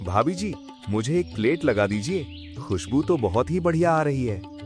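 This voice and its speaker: A man's voice: mid-range voice, very animated, measured pace, music in the background. A man voicing a cheerful male villager who eagerly orders food in a cartoon story. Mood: friendly. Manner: friendly, eager, praising.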